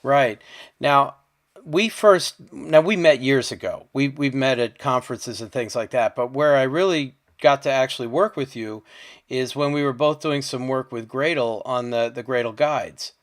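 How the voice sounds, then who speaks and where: A man's voice: medium pitch, moderate pace. A man hosting a podcast interview, asking friendly, conversational questions and checking facts as he goes. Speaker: podcast host.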